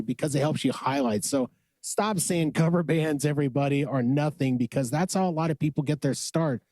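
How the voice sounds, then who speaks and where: A man's voice: fairly high pitch, darkish tone, no echo. A man hosting a podcast in a studio, with a smoky voice, upbeat and urging listeners to get out and support music. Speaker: podcast host. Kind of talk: casual podcast chat.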